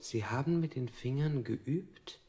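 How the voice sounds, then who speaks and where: A man playing a dazed prisoner in a radio play, recounting his interrogation in measured, literary sentences. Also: medium pitch, warm tone, close to an everyday voice. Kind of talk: avant-garde German radio play acting.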